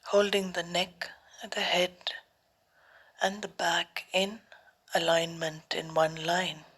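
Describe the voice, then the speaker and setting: A woman leading a guided meditation as a teacher, speaking softly and often near a whisper, in calm, deliberate short phrases with long pauses. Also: fairly low pitch, breathy edge.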